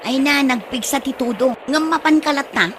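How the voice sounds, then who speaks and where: A woman's voice: high voice, moderate pace, noisy background, natural voice. A woman with an Ilocano accent playing a blunt, nagging, easily affronted woman in a comedy radio drama.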